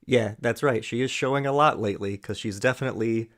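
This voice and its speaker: A man's voice: mid-range voice, fast talker, fairly bright tone. A man giving dry, mocking commentary while reacting to a video. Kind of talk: sarcastic commentary over a played video.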